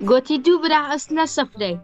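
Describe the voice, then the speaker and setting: A woman telling a joke in animated storytelling style, acting out sound effects over a video call. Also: high voice, racing, soft background music.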